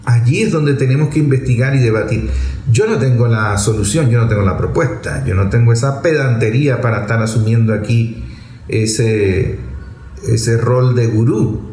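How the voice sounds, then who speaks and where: A man's voice: medium pitch, normal speed, dark tone. A man with a Venezuelan accent giving off-the-cuff political commentary in a didactic way, repeating phrases and posing rhetorical questions. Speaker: political commentator addressing an online audience. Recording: roomy echo with faint background noise.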